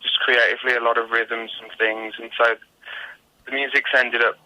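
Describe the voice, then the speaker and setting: A British man speaking as a musician guest in a casual interview, thoughtful, modest and measured in how he talks. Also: mid-range voice, little pitch movement, sharp tone, rough recording. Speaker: guest singer-songwriter.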